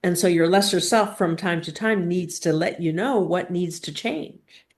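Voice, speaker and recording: Woman channeler doing a spiritual talk, really slow, halting phrases with big pauses. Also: lowish voice. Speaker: spiritual channeler leading a live teaching session. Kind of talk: spiritual teaching with guided questioning.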